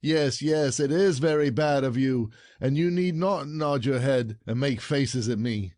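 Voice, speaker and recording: A man's voice: medium pitch, measured pace, darkish tone, no room sound, lightly acted. A man playing an indignant, proud, jealous husband in a classic comedy audio play, scolding in formal old-fashioned phrasing.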